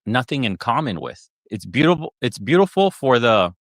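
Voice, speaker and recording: A man in a played podcast clip, giving opinionated commentary in a measured, lecturing way. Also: medium pitch, sing-song, a bit bright, no room sound, studio quality.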